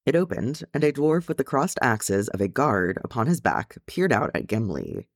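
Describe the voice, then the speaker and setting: A man's voice: mid-range voice, very animated, normal speed, no room sound, studio quality. A man reading a story aloud as narrator, in scripted audiobook style. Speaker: fan fiction narrator.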